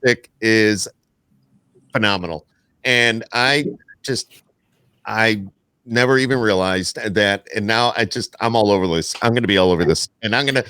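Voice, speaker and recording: A man's voice: fairly low pitch, moderate pace, bright, forceful tone, clear, resonant tone, no echo. Effusive American man, close dry sound. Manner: effusive, enthusiastic. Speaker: podcast host. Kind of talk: casual podcast chat.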